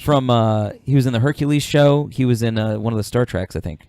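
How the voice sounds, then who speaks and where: A man's voice: medium pitch, fairly quick, a little mellow, smooth, dry recording, studio quality. A man chatting casually as a podcast host, reading out suggestions in a jokey, slangy way. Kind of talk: casual chat.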